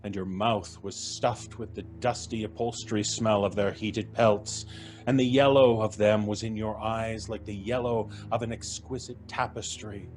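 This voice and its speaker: A man narrating a classic tale in a measured, vivid way for a live audience show. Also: fairly low pitch, steady tone, darkish tone, low-quality recording. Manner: measured, vivid, lingering on sensory details. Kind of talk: dramatic live story narration. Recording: live stage recording with faint music.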